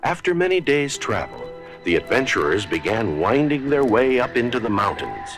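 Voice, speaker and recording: A man narrating a scripted children's story in a dramatic, deliberate storybook style. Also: mid-range voice, sing-song, a bit bright, soft background music.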